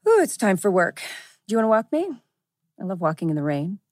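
A woman's voice: medium pitch, big pitch swings, a little fast, darkish tone, no echo, clean studio sound, close to an everyday voice. An actress playing an easygoing young woman in a table read of a teen drama. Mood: casual. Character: easygoing young woman living with her girlfriend.

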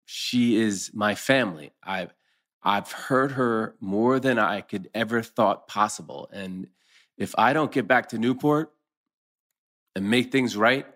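A man's voice: on the low side, takes it slowly, a bit bright. A man playing an earnest middle-aged husband in a dramatic table read, sounding natural and conversational. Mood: earnest, regretful. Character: earnest middle-aged husband torn over old flame.

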